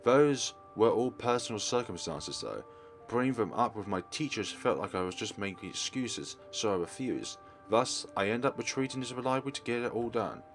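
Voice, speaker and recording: A man's voice: medium pitch, lively intonation, normal speed, faint music behind. A man reading story narration aloud from a visual novel as a let's play host.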